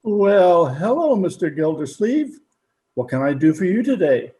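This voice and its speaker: An actor playing a dry, mild-mannered older shopkeeper with a hesitant, folksy, deadpan way of talking, in old-time radio comedy style. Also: male voice, high-pitched, a little slow, background noise.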